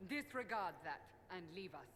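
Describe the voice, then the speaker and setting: A woman's voice: fairly low pitch, expressive, moderate pace, close to an everyday voice. An actress playing a stern, devout and commanding female warrior in a video game. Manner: firm, commanding. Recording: long hall reverb, noisy game audio.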